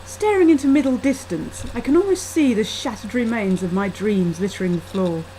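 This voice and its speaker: A woman's voice: mid-range voice, expressive, moderate pace, mellow, slight background noise, low-quality recording. A woman reading her own first-person short story aloud into a laptop webcam microphone.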